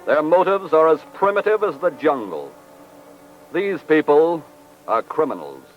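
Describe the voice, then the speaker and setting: A man's voice: on the high side, big pitch swings, unhurried. A man narrating a 1940s radio drama in a grave, formal, dramatic manner. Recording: muffled 1940s radio recording with music.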